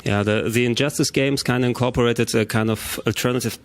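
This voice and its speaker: A man's voice: medium pitch, steady tone, brisk. A man conducting a casual, chatty interview.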